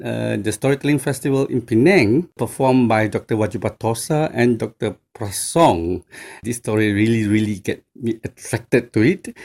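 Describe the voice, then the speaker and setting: A man answers interview questions. His voice sits in an ordinary middle range. He speaks in a deliberate, searching way, with frequent fillers. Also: medium pitch, a little mellow. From Malaysia. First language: Mandarin. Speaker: storyteller guest.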